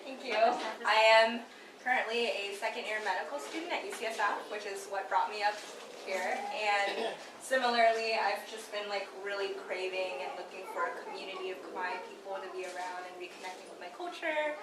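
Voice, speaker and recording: A woman's voice: medium pitch, brisk, distant mic, noisy background. Giggly young woman, echoey room. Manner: chatty, giggly, lots of "like". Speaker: medical student. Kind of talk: casual self-introduction.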